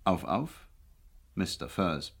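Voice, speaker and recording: Smug, low, creaky-voiced gangster. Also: male voice, very animated, takes it slowly, fairly bright tone, heavily acted. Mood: mocking threat. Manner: mocking, falsely courteous, commanding. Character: smug, mockingly polite male gangster with a revolver. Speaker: actor playing the villain. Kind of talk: 1970s German radio crime drama acting.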